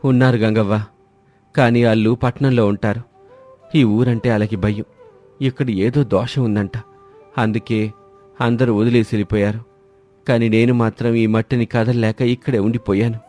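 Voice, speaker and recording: An actor playing a kind, stubborn village man who lives alone, speaking gently and reassuringly. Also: medium pitch, fairly even intonation, normal speed, pauses often, faint music behind, close to an everyday voice.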